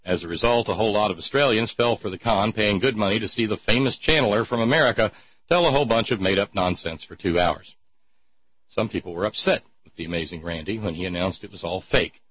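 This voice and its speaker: A man's voice: lowish voice, fast talker, husky, dull audio. A man hosting an irreverent talk show, reading listener notes aloud with a mocking, sardonic edge.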